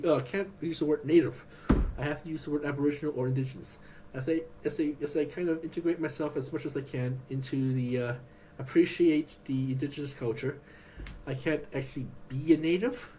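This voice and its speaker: A man vlogging in a rambling, halting way, with many restarts and fillers. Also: a little high, mellow, slight background noise, low-quality recording. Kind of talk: rambling personal vlog.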